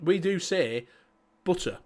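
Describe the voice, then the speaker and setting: Brief: male host with a Leeds Yorkshire accent, slightly higher voice, loose and sweary, circles back on himself. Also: expressive, slow, darkish tone. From Leeds, England. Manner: rambling, sweary, repeats himself. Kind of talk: casual livestream chat.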